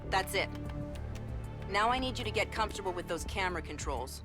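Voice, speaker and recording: A composed female intelligence handler, played by an actress in a spy game. Her voice is mid-pitched, brisk and businesslike, with clearly audible music behind her. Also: expressive, close to an everyday voice. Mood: businesslike, instructive. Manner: businesslike, clipped, instructive. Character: brisk, controlled female intelligence operative guiding an agent. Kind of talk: video game cutscene acting.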